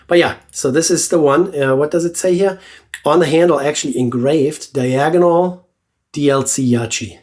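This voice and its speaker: A man's voice: on the high side, normal speed. A man with a stuffy, nasal voice from a cold, chatting casually while he reviews a razor on his shaving channel. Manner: relaxed, chatty, with many fillers and restarts. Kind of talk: casual product review vlog.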